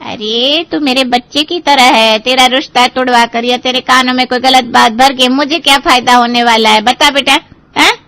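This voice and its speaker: A woman's voice: sits high, steady tone, normal speed, sharp tone, no room sound. A woman playing a cynical, gossipy older aunt in an audio story, worldly-wise and emphatic. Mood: defensive, worldly. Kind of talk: Hindi audio story acting.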